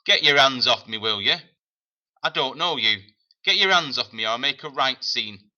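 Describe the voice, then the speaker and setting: A man's voice: medium pitch, steady tone, fairly quick, sharp tone, pitched above the performer's own voice. A man reading a storybook, voicing an angry, defiant young boy who talks back sharply. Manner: snappy, defiant.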